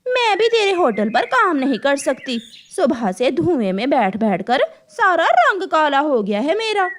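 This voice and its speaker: A woman's voice: high-pitched, big pitch swings, a little slow, slight background noise, voice pushed up. A woman voicing a rude, sulky young female bird with a quarrelsome, whiny manner in a cartoon. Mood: indignant complaining.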